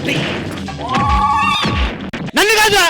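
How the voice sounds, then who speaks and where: A man in a comic stage skit, protesting loudly. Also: slow. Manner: loud, protesting. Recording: loud music over the voice, thuds.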